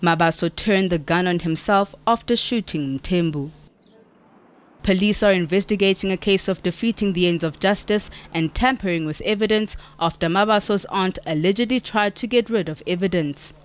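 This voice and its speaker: A woman reading a scripted television news report in a measured, formal way. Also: medium pitch, clear, resonant tone. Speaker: field reporter.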